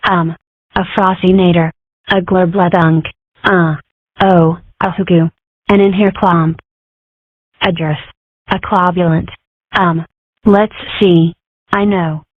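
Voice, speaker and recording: A woman's voice: fairly low pitch, steady tone, slow pace, pauses often, no room sound. A woman voicing a cheeky, mischievous young animal girl in a comic dub, with a teasing, flippant, casual manner. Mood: playful. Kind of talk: comic strip dub, character acting. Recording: muffled, dull, dry audio.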